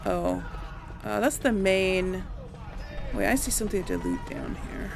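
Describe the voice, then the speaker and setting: A woman's voice: medium pitch, wildly swooping pitch, measured pace, gravelly, music in the background. A woman giving casual gameplay commentary, thinking aloud to viewers and often trailing off. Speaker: let's play host.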